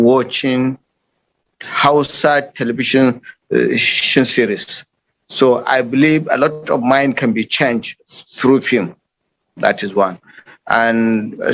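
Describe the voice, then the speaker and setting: A man's voice: medium pitch, rough recording. A man with a Nigerian accent, a panel guest speaking in an online discussion with frequent fillers and restarts.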